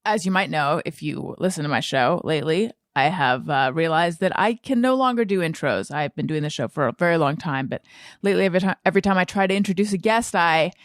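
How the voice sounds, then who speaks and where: A woman's voice: on the low side, expressive, fast, dry recording. Wry American woman hosting, close dry sound. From United States (Orange County, California). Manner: wry, mock-serious, rambling. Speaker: podcast host. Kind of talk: casual podcast monologue and interview chat.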